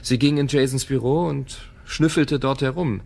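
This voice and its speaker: A man plays a world-weary tech founder in a radio drama. His is gravelly and guarded, at a middling pitch, with noise in the background. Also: steady tone, moderate pace, darkish tone, lightly acted. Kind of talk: German audio drama dialogue.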